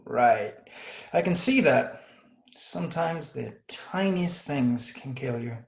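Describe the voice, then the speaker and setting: A man playing a wry, confident bounty cop with a gravelly, laconic, teasing delivery in a screenplay read. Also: mid-range voice, expressive, slow pace. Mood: dry, amused. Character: wry, confident male bounty cop, smooth flirtatious swagger. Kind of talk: screenplay table read, dramatic dialogue.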